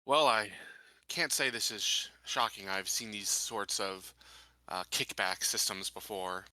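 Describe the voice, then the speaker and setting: Forceful, bright-voiced man roleplaying at a tabletop. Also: fairly low pitch, unhurried, no echo, rough recording. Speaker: tabletop roleplaying game player. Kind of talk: casual in-character improvised dialogue and game talk.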